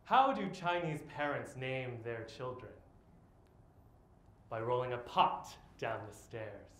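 Young guy doing a slow dramatic spoken word piece live on stage, lots of pauses, echoey room w some noise. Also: medium pitch, sing-song, fairly bright tone. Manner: deliberate, dramatic phrasing with long pauses. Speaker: monologue performer. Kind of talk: theatrical autobiographical spoken-word monologue.

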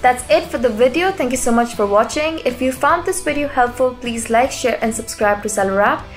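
A woman reading a scripted explainer narration in an even, polished voice-over. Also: fairly high pitch, a little fast. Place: voice-over over stock footage. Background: soft background music.

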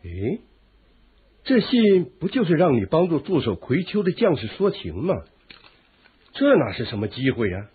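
A cowardly, lecherous royal uncle in a radio drama. His voice is very husky and swings about as he frets and whines. The sound is thin and boxed-in, like an old phone line. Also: on the high side, big pitch swings, moderate pace, pauses often, exaggerated voice. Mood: doubtful. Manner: fretful, whining, easily alarmed. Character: timid, lecherous royal uncle drawn into a plot. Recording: muffled, tinny phone-like radio drama recording.

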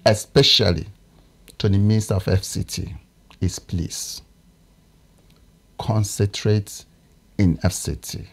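A man in his thirties with a Nigerian English accent, a political analyst talking in a TV studio, often pausing to search for words. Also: lowish voice, a little mellow. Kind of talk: studio discussion.

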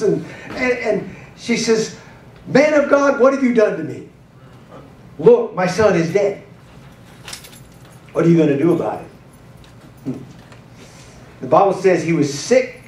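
A middle-aged man preaching a sermon as pastor, animated and gesturing as he moves about a church stage with a handheld mic. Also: high-pitched, slight background noise. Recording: roomy hall sound from a distant camera.

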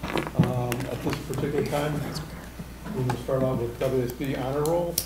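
A man opening a school board meeting as chair, relaxed and joking as he reads out names. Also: mid-range voice, normal speed. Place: large meeting room. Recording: roomy meeting room with hiss.

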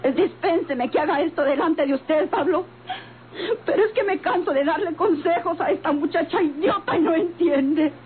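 A woman's voice: very high voice, normal speed. An actress playing a stern, bitter motel-owning mother in an old radio soap, blunt and melodramatic, with a northern Mexican accent. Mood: furious, bitter. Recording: tinny, noisy vintage radio recording.

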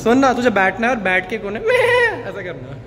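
A man's voice: very high voice, wildly swooping pitch, fairly quick, roomy sound, long reverb tail, a crowd in the background. A young man goading his friends through a fitness challenge, playful and laughing often. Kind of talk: casual banter with friends. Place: indoor mall lift lobby.

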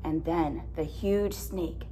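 A woman in her thirties reading a picture book aloud as a teacher, deliberate and expressive, explaining simply. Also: sits low, slight background noise, low-quality recording. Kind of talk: children's read-aloud with simple questions. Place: classroom, indoors.

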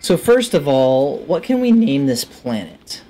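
A man's voice: a little high, very animated, a little slow, mellow, soft background music. A man in his thirties giving casual, enthusiastic let's play commentary, musing to himself as he plays. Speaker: gaming video host. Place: indoor room at a desk.

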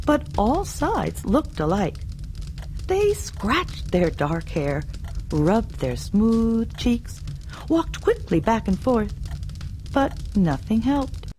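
Slow, expressive woman storyteller. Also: mid-range voice, slight background noise. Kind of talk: scripted folktale narration for learners.

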